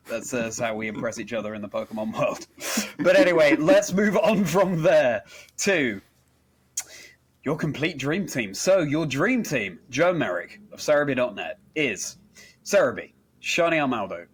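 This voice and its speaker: A man's voice: medium pitch, husky. Effusive male interview host. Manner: effusive, formal, wordy compliments. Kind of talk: hosted interview chat.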